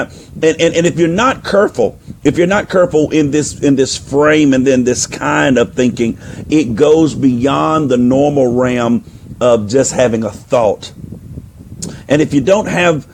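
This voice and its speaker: A man speaking as a life coach and minister, preaching on a live stream into a microphone. His voice is hoarse and nearly gone, and he repeats phrases as he urges listeners on. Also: medium pitch, darkish tone, a little hiss or hum. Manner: repeats phrases for emphasis, urging and exhorting. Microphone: microphone, put on partway through.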